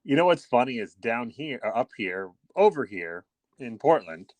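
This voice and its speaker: A man's voice: medium pitch, sing-song, moderate pace, no room sound. A man co-hosting a casual podcast by phone, wry and mock-dramatic, with some casual swearing. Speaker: podcast co-host. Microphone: phone call.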